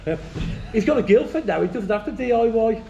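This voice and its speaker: A man's voice: high voice, expressive, a little fast, vocal fry, mellow, reverberant, dull audio, a little hiss or hum. A man from the English Midlands hosting a casual chat, teasing his friends bluntly and laughing often. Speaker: channel host. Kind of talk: joking banter among friends.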